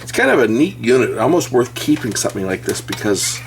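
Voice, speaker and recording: A middle-aged man giving a casual, unscripted hands-on repair commentary, thinking aloud with pauses as he works. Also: medium pitch, big pitch swings. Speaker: electronics repair hobbyist.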